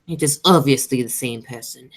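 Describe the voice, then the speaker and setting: A woman's voice: extremely low pitch, normal speed. A woman playing a stern, imperious aristocratic lady in a radio play, speaking in a haughty, commanding, formal way. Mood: haughty, disdainful.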